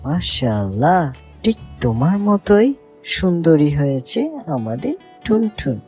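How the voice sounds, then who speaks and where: Woman as male songbird, very low voice, devoted, generous, earnest, tender, cartoon dialogue, music behind. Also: sing-song, measured pace, dark tone, breathy edge, exaggerated voice. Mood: joyful. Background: music and birdsong.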